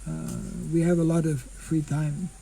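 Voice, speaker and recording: An older monk giving an informal scripture class outdoors into a stand mic, speaking slowly and haltingly and clearing his throat often. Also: fairly high pitch, flat delivery, mellow, soft background music, rough recording. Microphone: stand mic in front.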